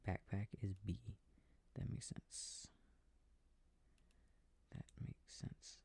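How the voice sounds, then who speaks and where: Low, breathy man murmuring over a game. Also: steady tone, very slow, warm tone. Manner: mumbling to himself, often dropping into whispers. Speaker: audio creator playing a video game. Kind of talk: soft rambling gameplay talk for a sleep aid. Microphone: close, dry microphone.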